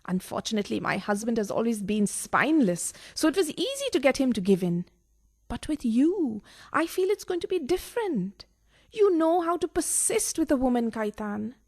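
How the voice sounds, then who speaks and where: An actress playing a coquettish, sharp-tongued, excitable mistress in a farcical radio play. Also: female voice, a little high, moderate pace. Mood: coy, teasing. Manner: dramatic, teasing and scolding.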